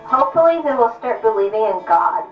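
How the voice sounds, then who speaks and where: A woman's voice: fairly high pitch, very animated, fairly quick. A woman playing a friendly, earnest character in a puppet show, speaking politely. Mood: grateful. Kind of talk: scripted puppet show acting. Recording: echoey distant dull stage recording with music.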